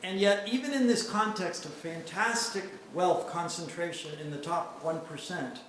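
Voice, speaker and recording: A man's voice: sits high, moderate pace, fairly bright tone. A man in the audience earnestly asking an impassioned question at a lecture. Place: lecture hall. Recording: very echoey hall with clear noise.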